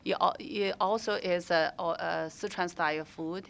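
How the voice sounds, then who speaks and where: A woman's voice: on the low side, steady tone, normal speed, gravelly, fairly bright tone. A woman with a Mandarin Chinese accent, speaking English as a second language while answering interview questions as a restaurant owner, with many fillers and restarts.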